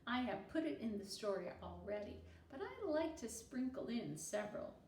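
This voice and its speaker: Woman as bossy little girl storyteller, very animated. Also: a little high, moderate pace. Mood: confident. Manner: confident, theatrical. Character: bossy, self-assured little second-grade girl storyteller. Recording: roomy echo with faint noise.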